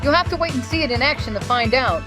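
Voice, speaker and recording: A woman's voice: sits high, lively intonation, fast. A woman playing a cool, cocky boy who pilots model planes in an amateur cartoon comic dub. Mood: cool, breezy. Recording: music under the voice.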